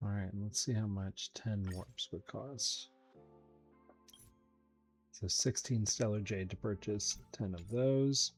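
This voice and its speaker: A man's voice: fairly low pitch, takes it slowly, long pauses, faint music behind. A man giving casual, explanatory commentary as he hosts a video game playthrough. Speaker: gaming video host.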